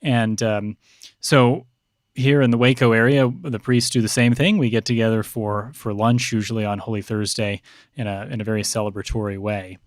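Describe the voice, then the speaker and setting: A man speaking as a priest guest on a podcast, explaining customs in a measured, halting, conversational way. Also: on the low side, fairly even intonation, no echo, clean studio sound.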